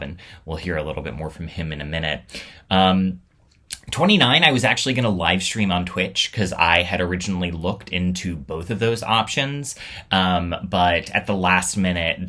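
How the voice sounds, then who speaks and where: Male host, low pitch, crisp bright tone, casual and reflective unscripted talk. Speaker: host of a storytelling show.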